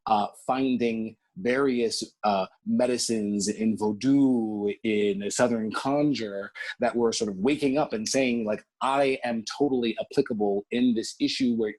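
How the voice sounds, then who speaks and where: A man's voice: medium pitch, darkish tone, slightly rough, dry recording, low-quality recording. A man talking as a podcast guest, reflective and sometimes searching for words. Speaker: guest, medicine practitioner. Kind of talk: casual interview chat. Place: Sao Paulo, Brazil.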